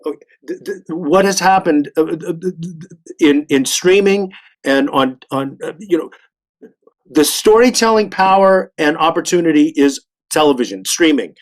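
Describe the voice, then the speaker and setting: A middle-aged or older man speaks on a panel in a slightly high voice. He stammers into his sentences, repeating small words before each point. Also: lively intonation, normal speed. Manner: stammering, hesitant starts. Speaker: panelist, veteran artist.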